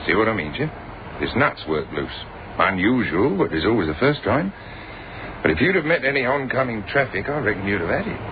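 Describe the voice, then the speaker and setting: A matter-of-fact mechanic in radio drama. His voice sits a little low and moves up and down freely. The recording is narrow and phone-like. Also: sing-song, a little fast. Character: plain-spoken car mechanic explaining a near accident. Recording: thin phone-like audio with noise.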